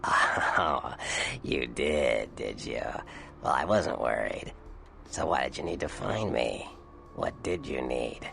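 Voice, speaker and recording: An actor playing a sly, sardonic vampire informant in a video game, with a gravelly, whispery voice and a mocking drawl. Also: male voice, a bass voice, lively intonation, takes it slowly, sharp tone, a little hiss or hum, full character voice.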